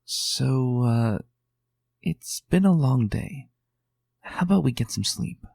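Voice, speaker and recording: A man voicing a kind but anxious young female unicorn who speaks gently and hesitantly. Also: mid-range voice, lively intonation, takes it slowly, creaky, slightly rough, no echo, clean studio sound, voice pushed up, exaggerated voice. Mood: gentle.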